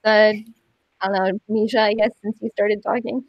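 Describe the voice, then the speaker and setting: A woman's voice: medium pitch, flat delivery, moderate pace, no room sound, dull audio. A woman casually moderating a panel discussion over a remote call.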